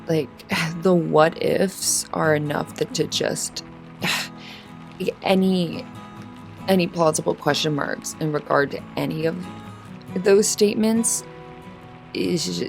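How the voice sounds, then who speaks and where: A woman playing a fragile, heartbroken woman after a breakup in an audio roleplay, halting and stammering as she doubts herself. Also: low-pitched, gravelly, heavily acted. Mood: fragile, overwhelmed. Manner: halting, stammering, rambling, sighing. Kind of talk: emotional audio roleplay acting.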